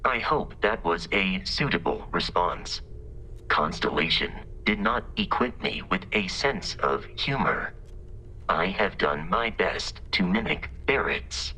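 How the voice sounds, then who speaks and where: Game robot companion: low, bright, deadpan, formal, polite and exact, dryly funny. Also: male voice, a little hiss or hum, exaggerated voice, rough recording. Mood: deadpan, courteous. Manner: formal, deadpan, precise, measured delivery. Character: polite, literal-minded male exploration robot companion, dryly humorous. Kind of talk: scripted video game character dialogue.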